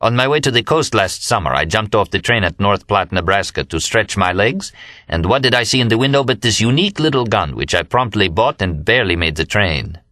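A man's voice: on the low side, expressive, fairly quick, bright, forceful tone, no room sound, studio quality. A male audiobook narrator playing a chatty, boastful young buddy telling a story in a wordy way. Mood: breezy boasting.

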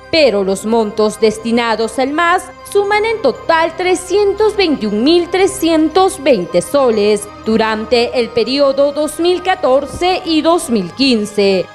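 A woman with a Peruvian Spanish accent reading a scripted regional news report in a measured, formal way. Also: sits high. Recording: voice-over with faint music bed.

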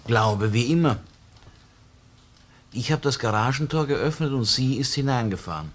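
An actor playing a reserved, controlled husband who answers police questions tersely and guardedly in a crime radio play. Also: male voice, mid-range voice, animated, normal speed, close to an everyday voice. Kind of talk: German-language crime radio play acting.

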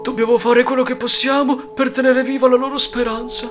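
Man voicing a high-pitched, fiery cartoon friar. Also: level tone, brisk, music in the background. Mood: gentle, sorrowful. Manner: emphatic, theatrical. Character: kindly, fiery male friar, stout church animal. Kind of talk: amateur cartoon dub.

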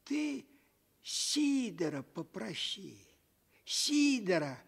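A man voicing a soothing old village grandfather in a radio fairy tale, with drawn-out, emphatic consoling. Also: high voice, sing-song, gravelly, husky, pitched above the performer's own voice, exaggerated voice.

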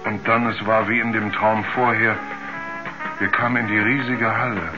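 An actor playing a shaken astronaut in a radio drama, speaking haltingly and repeating himself as if dazed. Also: male voice, lowish voice, little pitch movement, music in the background, natural voice. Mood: dazed, haunted. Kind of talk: 1970s German radio drama acting. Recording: dull vintage radio play sound.

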